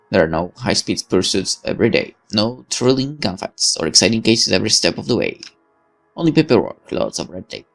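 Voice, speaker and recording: Animated, creaky-voiced male gaming host. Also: on the low side. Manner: theatrical, animated, swearing. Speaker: gaming video host reading narration aloud. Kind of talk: dramatic expressive read-aloud of game narration with asides.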